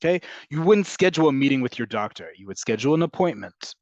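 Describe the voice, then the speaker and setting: A man's voice: mid-range voice, big pitch swings, quick pace, no room sound. A patient man explains things step by step to his students, repeating and rephrasing as he goes. His voice is dry and close. The sound is a little dull. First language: English. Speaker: Hebrew teacher. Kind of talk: online class, answering questions.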